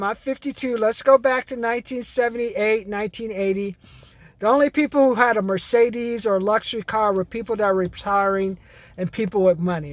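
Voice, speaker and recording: A man's voice: sits high, no echo. A man, ranting casually and bluntly to his viewers, rambling and swearing as he goes. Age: 50s. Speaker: vlogger. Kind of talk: casual rant to camera.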